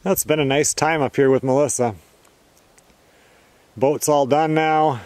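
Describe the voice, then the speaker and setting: A middle-aged man narrating a casual outdoor vlog at a lakeside camp in the woods, talking in a relaxed, matter-of-fact way. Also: fairly high pitch, measured pace. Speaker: vlogger.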